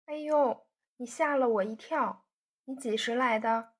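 A proud young woman who sulks and snaps back at her sweetheart, voiced by a woman reading the story. Her voice is high and husky-breathy, each line a pointed retort. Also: moderate pace. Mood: sulky. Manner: pouting, sharp retorts.

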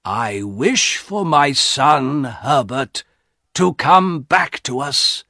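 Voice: medium pitch, wildly swooping pitch, bright, forceful tone, slightly rough, no echo, studio quality, voice pushed up, exaggerated voice. Someone playing an anxious old husband, widely swooping voice. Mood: fearful, reluctant. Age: older. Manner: anxious, drawn-out emphatic protest. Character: tired, fearful old husband, sorrowful and alarmed. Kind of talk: audiobook character acting.

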